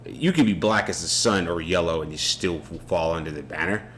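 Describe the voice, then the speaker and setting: Co-host, man with a very low voice, reflective and unhurried, faint noise in the room. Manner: relaxed, reflective, halting restarts. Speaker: podcast co-host. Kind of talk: casual unscripted conversation.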